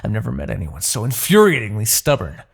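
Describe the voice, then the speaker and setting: Demon king played by a man: low, husky, courtly and possessive, with dramatically swooping delivery for fantasy ASMR roleplay. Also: very animated, fast, full character voice. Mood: frustrated, exasperated. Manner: haughty, theatrical, formal diction.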